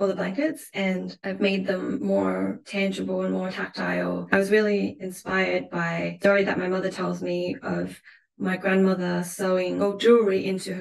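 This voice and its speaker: A woman with an Australian accent, speaking reflectively and thoughtfully about her own work as an artist in an interview, in a measured way with pauses mid-phrase. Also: medium pitch, creaky. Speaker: visual artist being interviewed. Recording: roomy, echoing sound.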